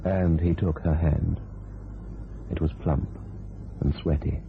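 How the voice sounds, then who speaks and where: Pulp crime storyteller, extremely low creaky voice, cynical dry delivery, tinny old radio sound. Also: male voice, fairly even intonation, slow pace, a little hiss or hum, full character voice. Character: hardboiled male narrator of a pulp crime tale. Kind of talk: scripted narration for crime radio play.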